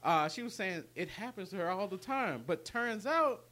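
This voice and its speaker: A man's voice: sits high, lively intonation, moderate pace, pushed and bright. A man in his late thirties chatting casually as a podcast co-host, congested from allergies, rambling and repeating himself as he goes. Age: late 30s. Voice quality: stuffy, congested nose. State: stuffy from allergies. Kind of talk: casual chat.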